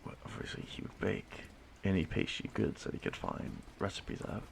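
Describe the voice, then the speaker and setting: Over a noisy home recording comes a man performing an ancient immortal stalker from an audio roleplay. He is courteous, wistful and softly menacing, drawing out his halting musings in a deeply creaky murmur. The pitch wanders freely, and phrases often sink into whispers. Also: expressive, exaggerated voice. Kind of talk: slow intimate audio roleplay monologue.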